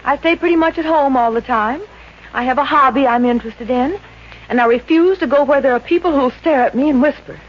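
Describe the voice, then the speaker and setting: A woman's voice: high-pitched, brisk. A woman playing a sheltered rich young daughter, shy but frank and earnest, in 1950s radio comedy style. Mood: wistful. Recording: dull, noisy old-time radio recording.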